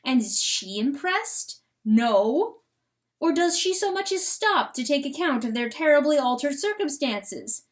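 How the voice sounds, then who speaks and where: Female narrator, high voice, very unhurried, theatrical, emphatic, dramatic storybook reading. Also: very animated. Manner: theatrical, emphatic, rhetorical questions. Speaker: audiobook narrator.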